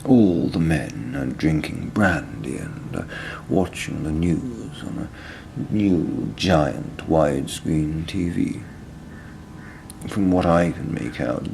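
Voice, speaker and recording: Guy reading a story as a washed-up disconsolate old boozer, gravelly n slow, halting, noisy outdoor vehicle sounds behind. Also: low voice, exaggerated voice. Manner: slow, halting, deliberate with long pauses. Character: disconsolate, dissolute, low-living old village drinker narrating. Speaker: author reading own short story. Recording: clear outdoor ambience and vehicle noise. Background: field recordings of ambience and vehicles.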